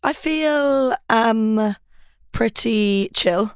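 A young woman from Ireland with an Irish accent, a podcast guest telling stories in a relaxed, chatty way with lots of fillers. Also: fairly high pitch, drawn-out words, smooth, dry recording, clean studio sound. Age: 20s. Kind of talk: casual chat.

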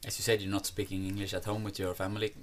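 A man's voice: sits low, monotone, rapid-fire. A man asking casual questions as an interviewer, with some ambient noise in the recording.

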